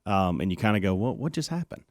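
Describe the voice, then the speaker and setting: A man's voice: on the low side, very animated, fast, no room sound, studio quality. A man on a radio talk show candidly recounting his illness, with a lingering cough. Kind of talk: casual chat.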